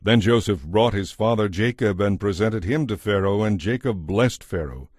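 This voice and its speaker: A man narrating scripture from a script in a measured, solemn manner. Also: lowish voice, darkish tone, husky, no echo, studio quality. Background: music.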